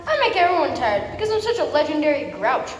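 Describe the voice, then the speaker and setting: A woman's voice: high-pitched, very animated, fast. A young girl of about eleven playing a grouchy, self-important composer in a scripted comic school play. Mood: grouchy, indignant. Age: child, about 11 or 12. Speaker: student performer in readers theater. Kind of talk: scripted comic stage recitation. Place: school performance in a large echoey room. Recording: echoey hall, distant mic, faint noise.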